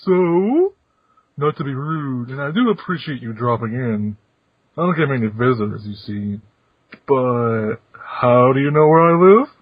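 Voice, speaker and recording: A man voicing a genial, teasing retired old council chairman in a fan podcast read-through, with playful, drawn-out pauses. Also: medium pitch, expressive, no room sound. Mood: gentle. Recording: muffled, dry lo-fi recording.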